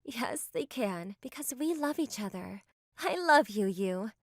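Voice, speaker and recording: A woman's voice: fairly high pitch, expressive, a little slow, slight background noise. A woman voicing a sweet, devoted young woman in a manga dub, speaking earnestly. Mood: loving.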